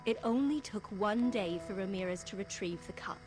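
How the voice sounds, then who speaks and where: An actress playing a polished, formal news presenter in a video game. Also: female voice, mid-range voice, normal speed, over music, lightly acted. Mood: formal, presentational. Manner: formal, announcer-like.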